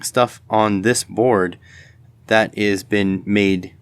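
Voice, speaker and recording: A man's voice: lowish voice, fairly even intonation, very slow, clean tone, dry recording. A man giving casual hands-on commentary as host of an electronics teardown video, tentatively thinking aloud as he goes.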